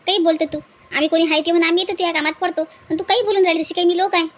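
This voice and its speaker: A woman voicing a brisk, caring village woman in a cartoon, chatty and repetitive. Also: extremely high pitch, fairly even intonation. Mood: soothing, chiding.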